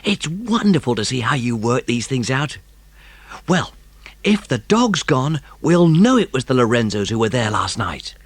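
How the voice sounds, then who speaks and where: A man's voice: mid-range voice, sing-song, unhurried, slightly rough, no echo, voice pushed up, full character voice. A man voicing an eager, admiring, earnest British boy with a hesitant manner in a dramatised audiobook. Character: awed, admiring working-class young boy, simple and eager.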